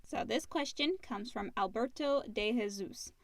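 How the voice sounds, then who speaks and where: A woman's voice: fairly high pitch, measured pace, creaky, no echo. A woman co-hosting a casual question-and-answer podcast, informal and agreeable, chatting and reading out listener questions. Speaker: podcast co-host.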